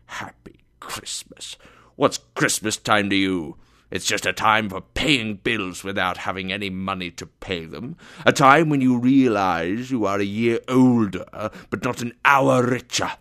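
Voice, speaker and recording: A man's voice: sits low, moderate pace, pushed and bright, smooth. Man acting a curt, scornful old miser. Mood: angry. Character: grumpy, miserly, bitter older uncle, scornful of cheer.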